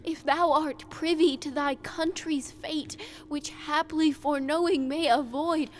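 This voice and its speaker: A woman playing an earnest, awestruck night watcher facing a ghost, dramatic and deliberate, in a Shakespearean audio drama. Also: high voice, fairly even intonation. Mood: awed, pleading.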